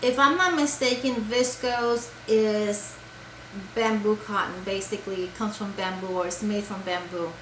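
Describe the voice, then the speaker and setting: Woman, crochet vlogger, casual rambling talk, lots of ums, mid pitch, roomy echo. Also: steady tone, a little slow, fairly bright tone, slight background noise. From Puerto Rico. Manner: rambling, many fillers and restarts. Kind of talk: casual show-and-tell chat.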